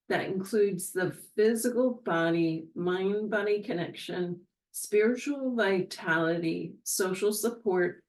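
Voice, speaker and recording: A middle-aged woman on a video call, speaking deliberately in listed phrases. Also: mid-range voice, darkish tone, poor audio. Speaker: host and patient. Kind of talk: reflective speech. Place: home room. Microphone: video call webcam.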